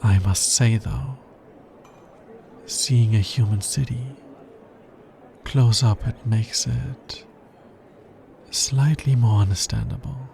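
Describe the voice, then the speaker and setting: An anxious, logical alien scientist, acted by a man in an intimate ASMR roleplay. His voice is dark and creaky, and he talks very slowly, in careful, formal phrases that often halt. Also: mid-range voice, slight background noise. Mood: calmer, fond. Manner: hesitant, formal, halting.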